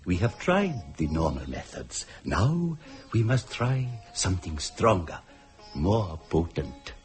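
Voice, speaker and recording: An actor playing an earnest man who solemnly and deliberately tells a family ghost tale in a radio play. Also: sits low, big pitch swings, faint music behind, heavily acted. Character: earnest man telling his great-grandfather's ghostly tale. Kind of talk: dramatic radio play storytelling.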